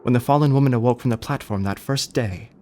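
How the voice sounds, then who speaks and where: Formal, deliberate actor as a male storyteller. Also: mid-range voice, warm tone, close to an everyday voice. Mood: reserved, recounting. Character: formal, sceptical art dealer recounting an uncanny tale. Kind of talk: radio drama narration.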